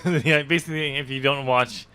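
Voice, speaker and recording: A man with a bright, forceful voice talks through his own novel draft with a friend. He is casual and self-mocking, laughs a lot, and trips over his words as he goes. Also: medium pitch, steady tone, normal speed, clear, resonant tone. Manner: self-deprecating, laughs often, stumbles and restarts. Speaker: podcast host and author of the novel. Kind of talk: casual podcast chat.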